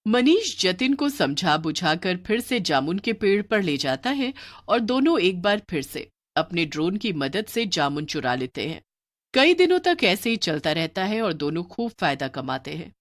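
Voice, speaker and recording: Animated woman narrator with a lower voice. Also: moderate pace. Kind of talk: scripted story narration.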